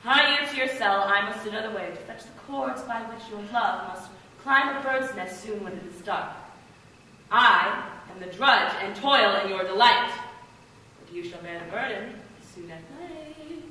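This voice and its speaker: In a very echoey hall, far from the mic, a woman plays a fussy old nurse in Shakespeare. She is garrulous and weary, rambling and teasing in a bright, fairly high theatrical voice. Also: expressive, moderate pace. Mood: conspiratorial. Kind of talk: Shakespeare stage acting. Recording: echoey hall, distant mic, faint noise.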